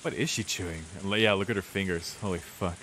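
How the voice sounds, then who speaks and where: A man's voice: low-pitched, fast talker, a bit bright, rough recording. A young man, a let's player reading game narration aloud with casual reactions. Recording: clear rain and hiss behind.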